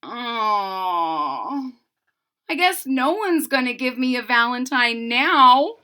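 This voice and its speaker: Storybook young turtle boy, played by a woman: excitable, plaintive, bright and lively. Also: a little high, slow, voice pushed up, exaggerated voice. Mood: dejected.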